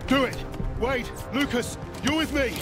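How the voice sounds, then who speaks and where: An actor playing a resolute special forces squad leader in a video game cutscene, firm, terse and authoritative. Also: male voice, extremely high pitch, a little slow, over loud music. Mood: shouted command.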